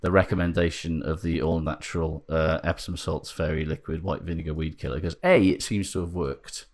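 A man's voice: a bass voice, fairly even intonation, brisk, a little mellow. A man hosting a podcast, chatting in a wry, casual way and swearing now and then. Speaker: podcast host.

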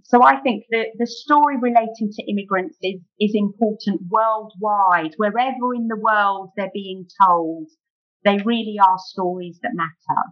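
A woman's voice: medium pitch, moderate pace. A middle-aged British woman chatting as an interview guest, peppering her talk with "you know". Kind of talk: casual conversational interview. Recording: muffled, dull audio.

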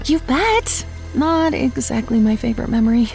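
A woman playing a resigned, self-deprecating zombie who narrates her own story in a deadpan, chatty way for an audio drama. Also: on the high side, moderate pace, creaky, over loud music. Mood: wry, resigned. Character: wry, resigned young female zombie narrator. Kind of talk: audio drama trailer monologue.

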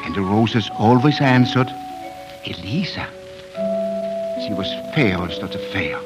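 A man's voice: on the low side, lively intonation, measured pace, lo-fi sound. A man narrating a storybook tale in a lyrical, dramatic 1940s radio style. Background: music.

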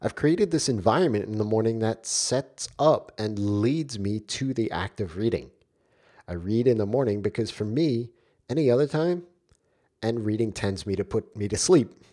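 A man's voice: lowish voice, expressive, normal speed, mellow. A man with a stuffy, congested voice from a cold, hosting a solo podcast and talking straight to his listeners in a friendly way. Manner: friendly, direct address to listeners. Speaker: podcast host.

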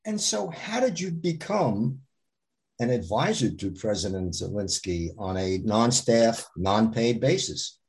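A man's voice: lowish voice, lively intonation, a little slow, darkish tone. A man hosting and producing a podcast, reading a formal introduction and asking interview questions. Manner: formal, reads clearly.

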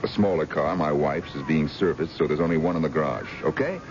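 Deep-voiced, blustering, touchy husband. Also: male voice, a little fast. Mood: indignant. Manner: gruff, irritable, emphatic. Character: touchy, blustering wealthy husband, impatient and domineering. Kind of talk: radio drama acting. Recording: dull, noisy old radio broadcast recording.

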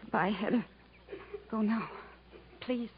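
A woman's voice: mid-range voice, slow, noisy background, close to an everyday voice. A female voice on a dull vintage broadcast. An actress plays a brave, tender heroine who holds herself in, speaking in short, restrained phrases in fifties radio drama style. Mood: brave, pleading. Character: brave, tender woman stoically parting from her beloved. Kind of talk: 1950s radio drama acting.

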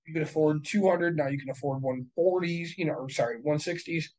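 A man in his thirties, a real estate investor, talking casually and bluntly, swearing and working through numbers out loud. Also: a little high, a little fast, poor audio. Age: 30s. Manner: profane, blunt, works through numbers aloud. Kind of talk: casual podcast chat.

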